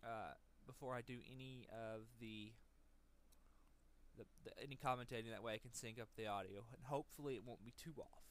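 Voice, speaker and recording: Man doing halting, casual game commentary. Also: medium pitch, a bit bright. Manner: halting, hedging, repeats words while thinking. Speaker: let's play commentator.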